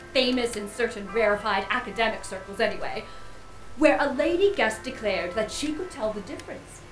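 A woman's voice: on the high side, close to an everyday voice, low-quality recording. A woman playing an arch, pointed middle-aged wife who coolly needles her friend in an audio drama. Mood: chatty, storytelling.

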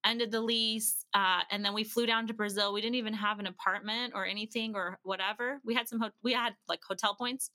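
A woman guest on a podcast, telling stories in a casual, animated way and acting out bits of dialogue. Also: medium pitch, a little fast, hardly any pauses, dry recording. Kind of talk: casual conversational storytelling.

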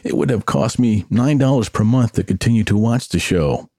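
Low-pitched older man hosting a podcast. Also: expressive, normal speed, dark tone, no echo, studio quality. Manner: opinionated, conversational. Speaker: podcast host. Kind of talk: solo podcast monologue, personal commentary.

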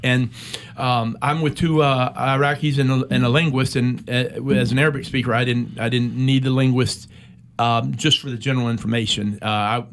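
Man, middle-aged, from the US, veteran, gravelly, mid-pitched, measured pauses, interview storytelling. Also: steady tone. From United States. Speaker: military veteran guest. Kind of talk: personal war story in a conversational interview.

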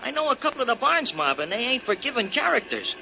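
A working-class sidekick from an old radio show, talking in rough slang. His voice is high, animated and sharply husky. The recording is muffled. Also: quick pace, a little hiss or hum, full character voice. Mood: worried. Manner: colloquial, ungrammatical slang. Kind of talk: 1940s radio acting.

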